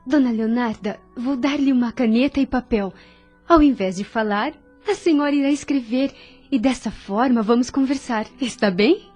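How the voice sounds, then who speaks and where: A kind young nurse in a Brazilian audio drama, played by a woman. Her voice is warm and mellow, sitting a little high. She speaks with gentle, devout earnestness while music plays faintly behind her. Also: moderate pace, natural voice. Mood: gentle, instructive.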